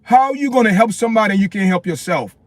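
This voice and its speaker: A man's voice: high-pitched, animated, quick pace, dry recording. A man from Jamaica with a Jamaican accent, ranting passionately on a livestream to his viewers and repeating his points. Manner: impassioned, repetitive, preachy, addresses viewers as "people". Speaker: livestream host. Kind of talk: opinionated live-stream rant.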